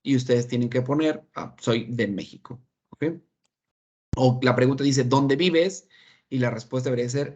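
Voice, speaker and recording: A man's voice: mid-range voice, a little mellow, lo-fi sound. A man with a Mexican Spanish accent teaching an online English class, explaining patiently and often checking understanding. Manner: patient, repeats points with frequent "¿ok?" checks.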